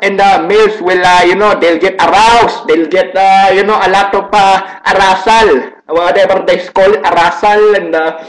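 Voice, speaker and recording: A man's voice: high voice, fairly bright tone, rough recording. A man putting on a Filipino accent, rambling through a comedic monologue full of repeated words and mix-ups. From Philippines. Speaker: comedic vlogger.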